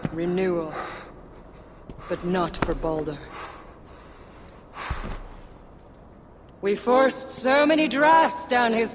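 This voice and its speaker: An actress playing a cruel, gloating villainess in a video game, with a theatrical, taunting and savoring delivery. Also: female voice, mid-range voice. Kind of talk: dramatic game cutscene acting. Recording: long hall reverb, noisy ambience behind.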